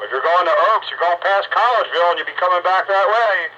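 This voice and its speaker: A man with a fairly high, forceful voice hosts a casual radio chat. He talks in a folksy, grumbling way, over a narrow radio channel with some background noise. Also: fast talker. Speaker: host of a radio roundup net. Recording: noisy, band-limited two-way radio audio.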